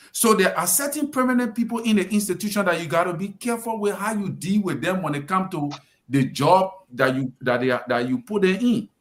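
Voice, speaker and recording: A Liberian man with a voice a bit higher than most gives political commentary on the radio. He lectures emphatically, pressing each point home in a Liberian English accent. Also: a bit bright. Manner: lecturing, emphatic, addresses the president directly. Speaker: radio talk show panelist.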